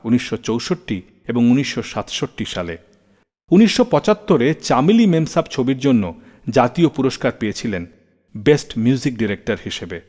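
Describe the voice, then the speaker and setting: A man's voice: mid-range voice, darkish tone. A man hosting a music radio show, narrating scripted stories in a storytelling style. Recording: long reverb, music underneath. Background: faint background music.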